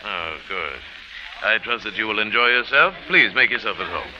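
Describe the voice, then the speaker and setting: Actor as a low-voiced, suave distinguished host. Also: male voice, expressive, music in the background, lightly acted. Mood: courteous, smooth. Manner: formal, measured politeness. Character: suave, courteous distinguished male humanitarian host. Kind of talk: old-time radio drama acting. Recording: noisy, lo-fi old radio recording.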